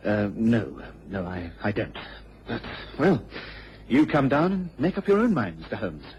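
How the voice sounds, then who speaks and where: An actor playing an earnest, baffled English police investigator in a radio drama, speaking haltingly and apologetically. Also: male voice, lowish voice, sing-song, darkish tone, heavily acted. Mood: earnest, hesitant. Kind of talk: British radio drama acting. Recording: dull old radio recording, clear noise.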